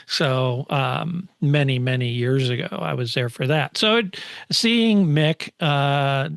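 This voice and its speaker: A man co-hosting a motorsport podcast in casual chat, often halting as he searches for words. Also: mid-range voice, creaky, fairly bright tone, dry recording, clean studio sound. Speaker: podcast co-host discussing motorsport.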